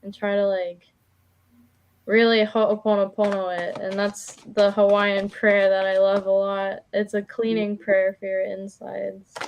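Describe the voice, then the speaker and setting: A woman's voice: medium pitch, level tone, a little slow, highs cut off, slight background noise. A woman doing a casual live tarot reading as a guest, chatty and informal, feeling her way with plenty of "like". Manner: informal, chatty, hesitant phrasing with frequent "like".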